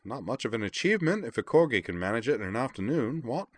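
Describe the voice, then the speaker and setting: A man's voice: mid-range voice, sing-song, fast talker, pitched above the performer's own voice. A man as a breezy, opinionated young man. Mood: breezy, pointed.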